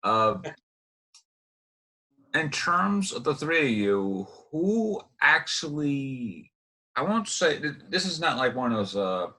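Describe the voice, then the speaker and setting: Halting male host, bright sharp voice. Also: a little high, big pitch swings, poor audio. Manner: halting, rambling. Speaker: interview host. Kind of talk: casual, unscripted interviewing.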